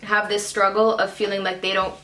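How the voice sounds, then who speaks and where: A woman's voice: mid-range voice, little pitch movement, a little fast, bright, forceful tone, echoey room. A young woman, a German native speaker raised abroad, chatting casually as a guest with many fillers and frequent laughs. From raised abroad in Cairo, Dubai and Shanghai. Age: young adult. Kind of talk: casual conversation.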